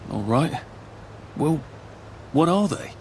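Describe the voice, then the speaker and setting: A man's voice: a little high, sing-song, takes it slowly, slight background noise, heavily acted. A man with an English accent playing a polite, timid, wry everyman hero who mutters dry, self-deprecating remarks in a video game. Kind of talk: video game cutscene acting.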